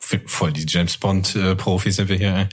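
A man's voice: low voice, fairly even intonation, moderate pace. A man around his thirties chatting casually on a podcast, colloquial, jokey and self-deprecating.

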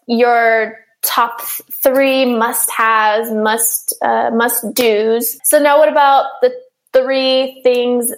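A woman's voice: a little high, fairly even intonation, very slow, low-quality recording. A woman hosting an interview, talking in a bubbly, giggly way with lots of fillers. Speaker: podcast host.

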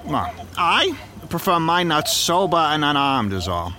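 Lively, high-pitched newsstand wisecracker. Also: male voice, a little slow, crowd noise. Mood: needling, skeptical. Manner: slangy, wisecracking. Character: brash, grumbling 1930s street newspaper vendor. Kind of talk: period noir audio drama acting. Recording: noisy city street ambience.

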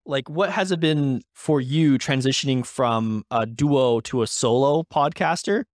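A man's voice: medium pitch, a little slow, dry recording, studio quality. Man interviewing a podcast host. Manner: supportive, asks loose open questions. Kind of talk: casual interview questions.

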